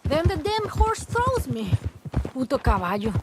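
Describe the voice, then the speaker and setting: A woman's voice: sits high, very animated, unhurried, heavy background noise, heavily acted. An actress playing a stranded, chatty, complaining woman of the Old West in a video game. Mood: exasperated, grumbling. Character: stranded, grumbling Western woman thrown from her horse. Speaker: stranded traveler. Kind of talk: video game character dialogue.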